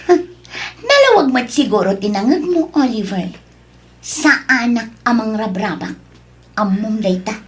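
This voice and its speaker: A woman playing a demanding, selfish mistress in an Ilocano radio drama, coaxing and haughty, quick to snap. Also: a little high, big pitch swings.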